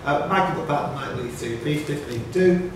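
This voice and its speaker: A man's voice: on the high side, fairly quick, music in the background. A man talking casually among the people at a live pub quiz. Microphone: distant room microphone. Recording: echoey room, distant mic, background ambience noise.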